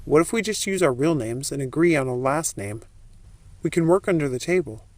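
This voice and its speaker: Woman voicing a low, cautious young man. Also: animated, brisk, darkish tone, no room sound. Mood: cautious, businesslike. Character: cautious, practical young man posing as a husband.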